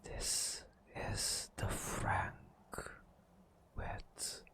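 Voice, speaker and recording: Very slow, whispering man narrating ASMR. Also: bright, forceful tone. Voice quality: whispery, breathy. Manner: slow, drawn-out, theatrical storyteller phrasing. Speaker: gaming ASMR host.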